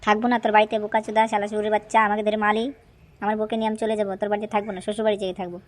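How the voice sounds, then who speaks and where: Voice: mid-range voice, little pitch movement, very fast, lightly acted. A woman voicing a crude, complaining young husband in a comedy cartoon. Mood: angry, crude. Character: young husband, foul-mouthed, grumbling, whiny when hurt. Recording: music behind the voice.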